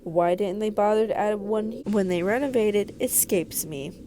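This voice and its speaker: A woman's voice: mid-range voice, a little slow, warm tone, a little hiss or hum. A woman reading a visual novel aloud as a game video creator, narrating dramatically and expressively with casual asides.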